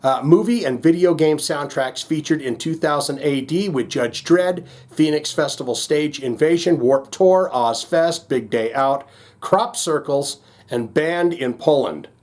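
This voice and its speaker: A man's voice: on the high side, measured pace, darkish tone. A man hosting a casual music reaction, thinking aloud in a rambling, jokey, slangy way.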